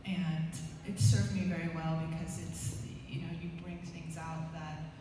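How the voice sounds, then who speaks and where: A middle-aged woman talks about her work in a quiet, hesitant way, with lots of small pauses. Her voice sits a little low. The sound is distant, with a long echo and a noisy room around it. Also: little pitch movement, music in the background. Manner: soft-spoken, hesitant with many ums. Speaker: artist guest. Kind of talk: panel talk. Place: gallery talk room with audience. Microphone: handheld mic heard from far camera. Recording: echoey room from far off, noisy.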